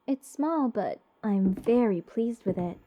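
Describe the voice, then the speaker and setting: A woman's voice: fairly high pitch, expressive, background noise. A woman playing a gushing, eccentric mage besotted with cursed creatures, in a rambling, whimsical fantasy ASMR roleplay addressed to the listener. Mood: dreamy, fond. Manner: slow, rambling, doting, theatrically whimsical. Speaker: voice actor.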